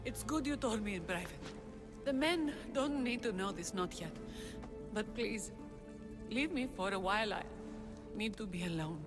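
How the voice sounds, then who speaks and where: A woman playing a brave young rebel leader and huntress in a video game, haunted yet tender, speaking in an earnest, measured, dramatic way. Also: medium pitch, lively intonation, natural voice. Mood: guarded. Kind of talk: cinematic video game acting. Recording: cinematic music behind the voice. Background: music.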